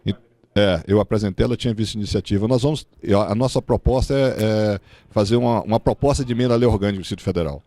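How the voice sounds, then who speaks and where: Brazilian politician guy answering casually, lowish voice, stop and start, dry sound. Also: quick pace, mellow, no room sound. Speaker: political candidate answering questions. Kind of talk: informal answer at a public forum.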